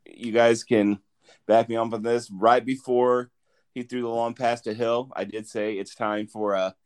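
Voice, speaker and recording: A man's voice: medium pitch, level tone, measured pace, a little mellow, clean tone. A man hosting a casual sports podcast, reading off game stats with frequent fillers and restarts. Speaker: podcast host.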